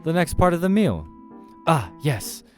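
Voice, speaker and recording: A man's voice: on the high side, very animated, a little slow, soft background music, pitched above the performer's own voice. A man voicing a polite, affable human man on a date in a visual novel, mild and accommodating. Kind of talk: acted visual novel dialogue.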